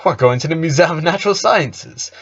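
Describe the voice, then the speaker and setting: A man's voice: fairly high pitch, animated, brisk, a bit bright, slightly rough, voice pushed up, heavily acted. A man voicing a cheerful, insistent middle-aged dad in an audio story, on a slightly dull recording. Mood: upbeat, coaxing.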